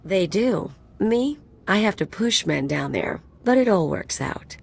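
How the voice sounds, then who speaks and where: A woman's voice: mid-range voice, big pitch swings, unhurried, gravelly, breathy edge, heavily acted. An actress playing a mocking, roguish female mercenary sidekick in a video game, sardonic, teasing and flippant. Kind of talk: video game dialogue acting.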